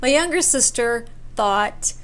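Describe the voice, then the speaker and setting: A woman's voice: on the high side, expressive, takes it slowly, fairly bright tone. A woman telling a personal story casually, acting out bits of dialogue and repeating words for emphasis. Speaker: storyteller sharing a personal camping experience.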